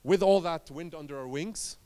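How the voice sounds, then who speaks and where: A man from Lebanon giving a conference talk as an entrepreneur, telling his story in short emphatic phrases with dramatic pauses. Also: fairly high pitch, dark tone, smooth.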